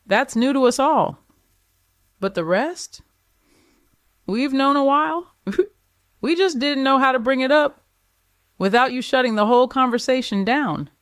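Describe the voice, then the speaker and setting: A man's voice: very high voice, a little slow, long pauses, a bit bright, smooth, dry recording, studio quality, pitched above the performer's own voice. A man voicing motherly, blunt older women in an audio story, chiding and familiar. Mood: motherly, firm.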